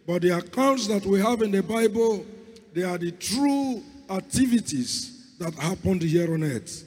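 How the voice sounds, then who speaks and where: Hoarse man preaching in a reverberant hall. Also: high voice, lively intonation, measured pace, darkish tone. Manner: fervent, repeats calls to God. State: hoarse, lost voice. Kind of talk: sermon with opening prayer. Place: church hall.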